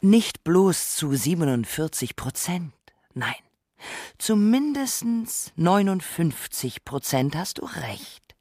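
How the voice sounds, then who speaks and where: A second narrator reading a scripted radio essay in a deliberate, reflective way. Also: mid-range voice, big pitch swings, darkish tone, husky, no echo, clean studio sound.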